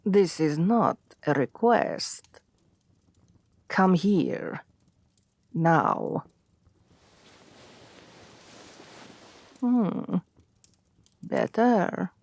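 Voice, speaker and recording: A woman's voice: on the low side, lively intonation, very slow, long pauses, no echo, exaggerated voice. A woman voicing a seductive, domineering vampire queen in an intimate ASMR roleplay, haughty and possessive, with a languid, commanding purr that often drops toward a whisper. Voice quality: breathy, often dropping to whispers. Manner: languid, condescending, commanding purr with soft chuckles.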